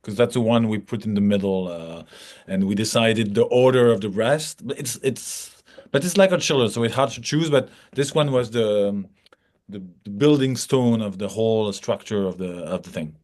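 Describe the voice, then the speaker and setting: Low-voiced man answering interview questions. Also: moderate pace, darkish tone. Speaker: filmmaker being interviewed.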